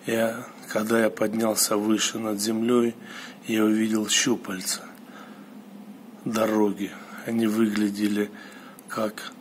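A man tells a personal story in a solemn, halting way, breaking it into short phrases. His voice sits fairly low and stays very flat in pitch. Clear background noise runs under the recording. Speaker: storyteller recounting a mystical experience. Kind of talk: slow personal monologue.

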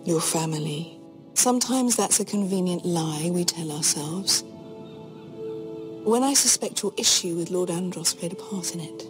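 An actress playing a cold, shrewd queen in a fantasy game, imperious and condescending in measured speech. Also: lowish voice, lively intonation, over music, natural voice. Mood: probing, condescending. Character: cold, shrewd, commanding adult queen, veiled threats. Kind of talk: dramatic fantasy game acting.

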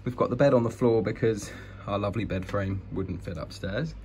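Low-voiced British man giving a house tour. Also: a little fast, a little mellow. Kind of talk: casual walk-through narration. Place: inside an old house, walking between rooms. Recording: noisy indoor handheld home recording.

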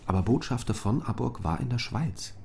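A man's voice: mid-range voice, animated, moderate pace, gravelly, dark tone, slight background noise. An actor playing a grave, concerned male investigator in a radio drama, talking in terse, clipped phrases.

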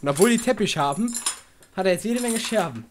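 A man's voice: sits high, expressive, a little fast, a little hiss or hum. A man performing improvised comic talk and mock announcements for a radio parody.

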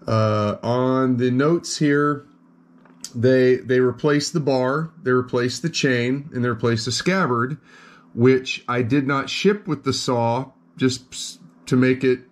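A man talks slowly and deliberately as he lays out a complaint to customer service. He sounds mildly exasperated but stays calm. He is recorded indoors at a desk. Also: medium pitch. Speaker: customer calling service line. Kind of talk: phone call.

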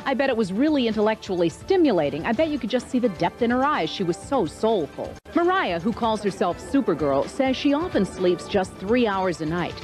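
A woman's voice: medium pitch, big pitch swings, brisk, hardly any pauses, a little mellow. A woman reporter reading a news voice-over with quotes. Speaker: entertainment reporter. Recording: voice-over over music bed.

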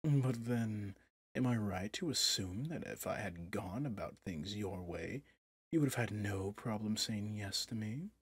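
A man playing a possessive villain prince, a smug and coaxing new husband, in an intimate ASMR roleplay, with a husky, breathy voice and a seductively tender menace. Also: fairly low pitch, animated, measured pace, no echo, full character voice. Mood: persuasive, insistent.

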